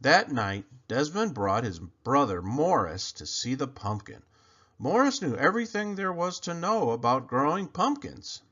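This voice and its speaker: Very animated man reading a storybook. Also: mid-range voice, unhurried, highs cut off. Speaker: storybook reader. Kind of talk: expressive children's read-aloud narration.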